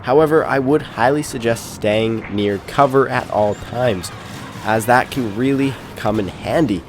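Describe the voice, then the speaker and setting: A man's voice: medium pitch, unhurried, a little hiss or hum. A man with a stuffed-up, congested voice gives polite, scripted commentary over game footage as a channel host. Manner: polite, formal phrasing.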